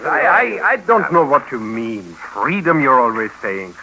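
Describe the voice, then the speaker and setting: An actor playing a baffled, skeptical refugee carpenter in a 1950s radio drama, asking halting, incredulous questions. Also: male voice, medium pitch, big pitch swings, creaky, a crowd in the background. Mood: puzzled. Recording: noisy old 1951 radio recording.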